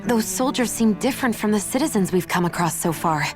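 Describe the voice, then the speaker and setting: A woman's voice: medium pitch, lively intonation, fairly quick, over music, close to an everyday voice. A woman playing an earnest young game heroine, direct and questioning, with a guarded concern for others. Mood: wary. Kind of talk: dramatic video game voice acting.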